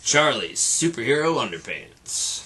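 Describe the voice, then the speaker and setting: A man's voice: mid-range voice, sing-song, unhurried, bright, forceful tone, a little hiss or hum, low-quality recording. A man reading a children's picture book aloud like a teacher, with rhythmic, dramatic delivery and playful asides.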